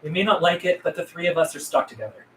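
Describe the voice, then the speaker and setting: A man's voice: a little high, animated, quick pace, a bit bright. Man playing an earnest survivor, distant and roomy. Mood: stern. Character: Asa, guarded, earnest man, survivor in zombie apocalypse. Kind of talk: script table read. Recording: roomy, distant mic, faint noise.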